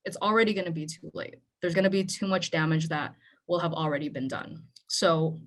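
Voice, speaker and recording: A woman's voice: on the low side, a bit bright. A young woman from the Philippines, a native Tagalog speaker, giving an earnest, conversational talk on a panel. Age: young adult. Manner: earnest, conversational, with frequent "right?". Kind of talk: speech at a panel event.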